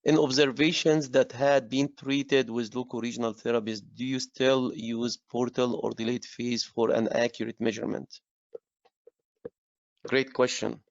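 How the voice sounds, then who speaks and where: A man losing his voice, moderating a lecture Q&A over a video call, halting with fillers and restarts. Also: medium pitch, fairly even intonation, no echo. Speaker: course director and moderator. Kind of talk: online lecture Q&A. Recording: dull muffled web call audio.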